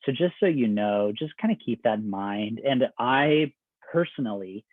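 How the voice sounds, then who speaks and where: A man working as an admissions counselor, giving a conversational, step-by-step presentation with everyday examples. His voice is getting a little raspy. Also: mid-range voice, animated, moderate pace, dry recording. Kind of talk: informational presentation. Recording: dry, phone-like video call audio.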